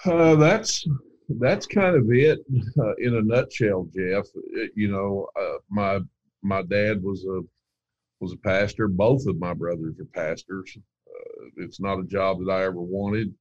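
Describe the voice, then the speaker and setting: A man's voice: low-pitched, a little slow, darkish tone, no echo, muffled recording. A man working as an account manager, talking casually in an interview in an earnest, plainspoken way with frequent fillers.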